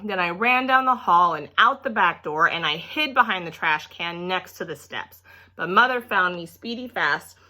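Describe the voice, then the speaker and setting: An indignant little girl, played by a woman, tells a storybook tale in a bright, forceful voice. She sulks and stresses her words with childish drama. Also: fairly low pitch. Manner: emphatic, sulky, childlike phrasing. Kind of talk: children's storybook read-aloud in character.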